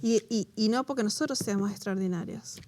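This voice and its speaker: A woman from Latin America leading a conversational Bible teaching, animated and quick to laugh, turning emotional over a personal story. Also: medium pitch. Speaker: co-host of a Bible study show.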